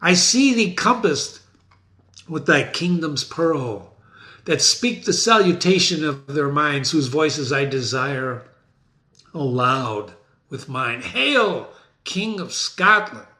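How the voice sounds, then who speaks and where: A man's voice: a little high, animated, slow, bright, forceful tone, full character voice. A man playing a triumphant, loyal nobleman, proclaiming as in a Shakespeare stage play. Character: triumphant loyal nobleman hailing a new king. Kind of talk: dramatic reading of a Shakespeare play. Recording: echoey room.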